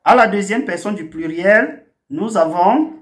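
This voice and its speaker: A man hosting a language lesson channel, slowly and deliberately spelling out words one at a time. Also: high-pitched. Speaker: language teacher and channel host.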